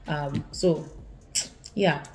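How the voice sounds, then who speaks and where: A woman's voice: low voice, drawn-out words, faint music behind. A young woman from Nigeria with an Igbo accent, giving earnest, casual advice to camera in a living room and often restarting her sentences. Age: young adult. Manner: earnest, repeats herself, many restarts. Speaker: student vlogger.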